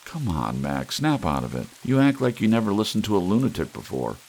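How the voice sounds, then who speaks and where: A scoffing prosecutor brushes off his friend's worries in a deep, gravelly voice, as a man reads his part. His tone is blunt and dismissive. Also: sing-song, brisk, darkish tone, heavily acted. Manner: brusque, dismissive.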